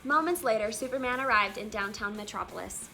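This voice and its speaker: A woman hosting a children's read-aloud, telling a storybook in an animated, expressive way with emphatic exclamations. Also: medium pitch, fairly quick, gravelly. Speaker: read-aloud channel host. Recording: music behind the voice. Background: music.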